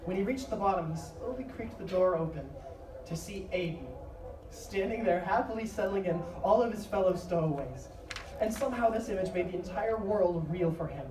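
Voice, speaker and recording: A woman's voice: on the low side, expressive, normal speed. A woman narrator reading a scripted dramatic story live. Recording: echoey live stage, distant noisy mic.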